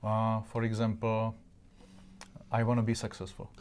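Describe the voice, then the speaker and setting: A man who is a non-native English speaker, hosting an interview in a halting way. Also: on the low side, monotone, darkish tone, a little hiss or hum. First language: not English. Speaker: interviewer and host. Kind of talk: interview with greetings to an audience.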